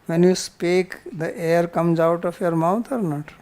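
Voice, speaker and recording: A man's voice: high voice, steady tone, unhurried, darkish tone. A man with an Indian accent teaching a scripture class, explaining patiently with simple examples and repetition. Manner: didactic, repeats points, uses simple examples. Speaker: spiritual teacher.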